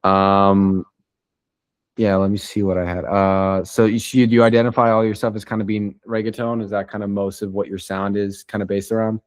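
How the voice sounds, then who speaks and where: A man hosting a casual interview, relaxed and easygoing, with many fillers. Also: lowish voice, fairly quick, no echo. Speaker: interview host.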